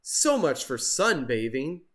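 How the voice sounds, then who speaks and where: A man's voice: mid-range voice, sing-song, takes it slowly. A man voicing an eager, dramatic turkey in a storybook, exclaiming, moaning and gobbling. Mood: dismayed, moaning.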